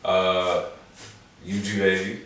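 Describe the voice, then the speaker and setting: A man's voice: low-pitched, little pitch movement, slow pace, a bit bright. An American man in his twenties from Chicago, chatting casually as an interview guest with slangy, laid-back, joking talk. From Chicago, United States. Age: 20s. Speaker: guest, rapper and producer. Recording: very echoey room, distant mic, clear noise.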